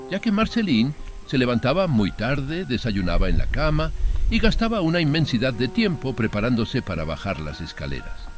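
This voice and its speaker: A man narrating a literary horror tale in a measured, dramatic audiobook style. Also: mid-range voice. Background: background music.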